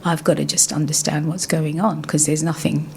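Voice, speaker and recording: A middle-aged woman from Yemen with a refined London accent answers radio interview questions. Her voice is low and gravelly, and she talks reflectively, with frequent pauses and restarts. A faint hiss sits behind her. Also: level tone, darkish tone. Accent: refined London English. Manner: reflective, many fillers and restarts. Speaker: interview guest. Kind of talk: casual interview conversation. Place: radio studio.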